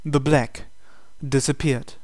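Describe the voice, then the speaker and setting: A man reading scripted, dramatic story narration in a measured, solemn way. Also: mid-range voice, steady tone, a little mellow, dry recording. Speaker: narrator reading translated game text. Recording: music behind the voice. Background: music.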